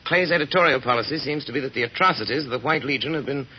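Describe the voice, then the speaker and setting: An actor playing a calm, confident gentleman detective in 1940s radio drama style, measured and authoritative. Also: mid-range voice, breathy edge, lightly acted. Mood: confident, deducing. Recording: muffled, noisy old radio recording.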